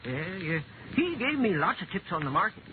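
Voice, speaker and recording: Folksy old skid-row dreamer, high swooping male voice, rambling excitably in a muffled vintage radio play. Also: moderate pace, slight background noise. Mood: wistful, insistent. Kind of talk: 1950s radio character acting.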